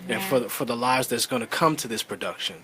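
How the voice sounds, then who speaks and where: A man speaking as a pastor and playwright in a casual interview, earnest and devout, with a halting delivery. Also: mid-range voice, sharp tone, slight background noise.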